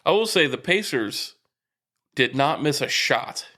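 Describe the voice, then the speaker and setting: An American man with a medium-pitched voice co-hosts a casual sports podcast. He talks in an emphatic, teasing way, as someone ready to correct his buddy. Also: sing-song, unhurried, sharp tone.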